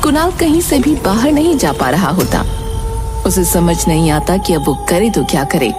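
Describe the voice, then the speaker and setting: A woman narrates a dramatic scripted story. Her voice sits fairly low, and background music plays clearly beneath it. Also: sing-song, moderate pace.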